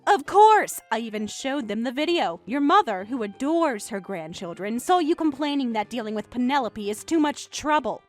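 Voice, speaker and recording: A woman's voice: on the high side, sing-song, normal speed, few pauses. A woman voicing a vengeful betrayed wife in a story, sarcastic and scolding. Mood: gloating.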